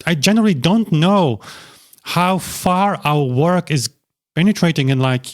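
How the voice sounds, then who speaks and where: A man's voice: a little high, lively intonation, unhurried. Rambling man talking to camera. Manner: rambling, with many restarts and fillers. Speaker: YouTube channel host. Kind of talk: casual talk to camera. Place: small cozy home studio.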